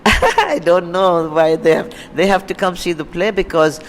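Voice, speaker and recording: A woman's voice: on the low side, big pitch swings, measured pace, big-room sound. A woman playwright with a Pakistani accent answering interview questions spontaneously, searching for words and repeating herself. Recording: noisy room ambience.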